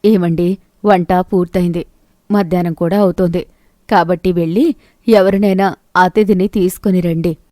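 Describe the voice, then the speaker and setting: A woman's voice: on the low side, fairly even intonation, moderate pace, pauses often, warm tone, no echo, studio quality, close to an everyday voice. A woman storyteller playing an outspoken, hardworking village wife in a moral tale, with emphatic, dramatic delivery. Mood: brisk, dutiful. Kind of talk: Telugu moral story voice acting.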